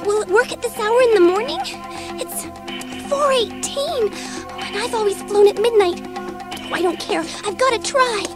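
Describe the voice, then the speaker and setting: A woman's voice: extremely high pitch, normal speed. A woman playing an anxious schoolgirl in a dramatised audiobook, breathless and pleading. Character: anxious, pleading schoolgirl, horrified by her own wishes. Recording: music behind the voice.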